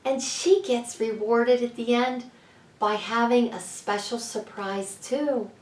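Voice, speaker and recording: A woman author reading a storybook aloud for children, speaking deliberately and addressing young listeners directly. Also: fairly high pitch, fairly even intonation, darkish tone. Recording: echoey room, slightly distant mic.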